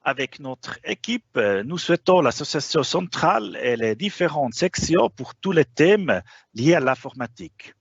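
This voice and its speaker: A man's voice: on the high side, very animated, a little slow, creaky, slightly rough, no echo, dull audio. A man whose first language is not French, presenting a formal webinar with frequent hesitations. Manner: hesitant, frequent "euh" fillers.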